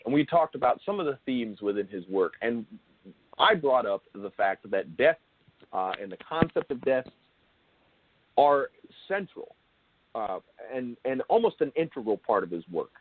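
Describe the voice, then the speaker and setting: Animated male interviewer on a thin phone-like line. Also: mid-range voice, husky, no room sound. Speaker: show host and interviewer. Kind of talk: conversational interview.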